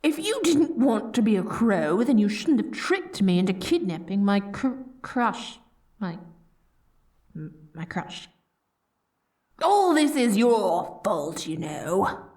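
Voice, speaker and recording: Casting: woman as haughty yet lovestruck evil queen for ASMR roleplay; slow, swooping, melodramatic delivery that can turn flustered, dry close sound. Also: mid-range voice, very animated, darkish tone, no room sound. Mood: dramatic, nervous. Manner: grandiose proclamations breaking into flustered stammering. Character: dorky, theatrical evil queen, haughty yet secretly smitten. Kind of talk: scripted ASMR roleplay acting.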